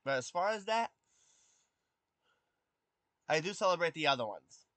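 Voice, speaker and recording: Man, early twenties, youthful undeepened voice, slightly high, hesitant with ums, casual live stream chat, dry sound. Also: lively intonation, measured pace, a bit bright, dry recording. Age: 20s. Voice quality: youthful voice that has not deepened. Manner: hesitant, many ums and restarts, answers chat questions.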